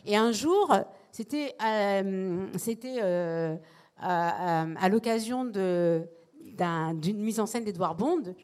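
A woman's voice: medium pitch, slow pace, a little mellow. Earnest woman theatre director on a panel. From not from Marseille, lives there. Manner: earnest, restarts phrases often. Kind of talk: panel discussion.

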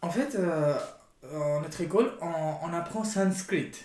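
A man from India, speaking French as an in casual chat, often pausing to search for words. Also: fairly high pitch, darkish tone. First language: not French. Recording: roomy echo.

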